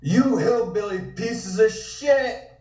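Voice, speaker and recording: A man voicing a pompous, hot-tempered gentleman with theatrical delivery in a table read, on a muffled, roomy connection. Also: high-pitched, lively intonation, takes it slowly, far from the mic, crowd noise. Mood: outraged. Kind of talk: dramatic table read of a comic script.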